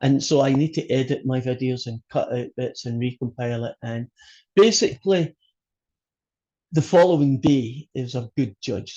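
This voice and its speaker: A man's voice: medium pitch, mellow, lo-fi sound. A man with a Scottish accent, a college math instructor giving a chatty, joking introduction to his class over a video call. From Scotland. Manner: chatty, joking, loose with fillers. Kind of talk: casual online class introduction.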